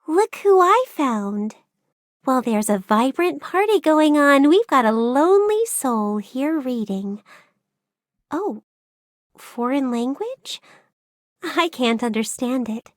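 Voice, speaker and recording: A playful, curious young female game character. She teases slowly in a high, very melodic voice, recorded dry and close. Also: big pitch swings, no echo, exaggerated voice. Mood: playful coaxing. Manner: coaxing, teasing.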